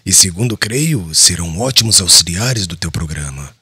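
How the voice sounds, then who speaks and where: An actor playing a prudent, concerned apostle and former fisherman in an audiobook, raspy, measured and solemn. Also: sits low, lively intonation, bright, forceful tone, no room sound, studio quality, full character voice. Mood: grave, concerned. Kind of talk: dramatized audiobook dialogue.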